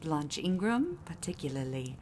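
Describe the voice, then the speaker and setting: An actress playing a kindly, chatty older housekeeper in a period audio drama, genteel and fussing. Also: female voice, low-pitched, sing-song, normal speed, warm tone. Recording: faint background noise, ambience.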